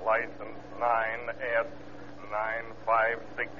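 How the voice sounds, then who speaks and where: An actor playing a clipped, official police dispatcher in an old-time radio drama. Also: male voice, mid-range voice, takes it slowly, natural voice. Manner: clipped, monotone. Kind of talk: 1930s-40s radio drama acting. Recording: dull, noisy old radio recording.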